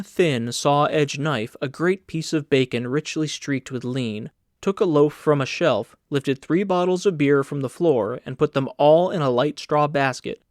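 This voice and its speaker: A man's voice: on the high side, dry recording, studio quality. A man reading scripted literary narration as an audiobook narrator.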